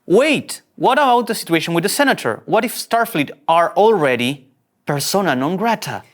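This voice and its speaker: A man's voice: high voice, animated, normal speed. Man playing an anxious young starship captain. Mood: alarmed. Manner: hesitant, fretful. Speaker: reader at a table read. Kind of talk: scripted dramatic table read.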